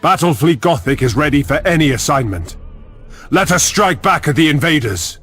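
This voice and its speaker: An actor playing an earnest, dutiful battlefleet admiral in a game cutscene, formal and respectful. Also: male voice, fairly high pitch, lively intonation, normal speed, fairly bright tone. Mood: eager resolve. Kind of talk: video game cutscene acting. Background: music.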